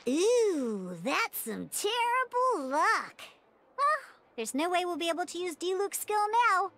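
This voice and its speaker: A woman playing a small, bubbly, childlike girl companion in a video game, with a squeaky voice and a perky, encouraging way of explaining. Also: sing-song, a little slow, sharp tone, a little hiss or hum, exaggerated voice. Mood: mildly let down. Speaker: game tutorial guide. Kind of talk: animated video game character tutorial dialogue.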